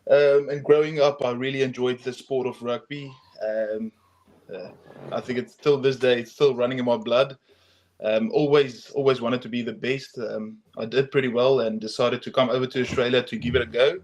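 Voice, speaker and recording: A man's voice: mid-range voice, steady tone, moderate pace, darkish tone. A young man from South Africa with a South African accent, a guest talking casually in an interview, hesitant with many ums and restarts. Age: young adult. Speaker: guest, arm wrestler.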